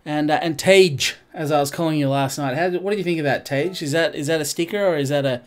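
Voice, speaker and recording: A man's voice: fairly high pitch, fast talker. A man commentating casually while he streams a racing game, stuffed up and nasal, rambling and greeting his viewers as he goes. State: congested, nasal.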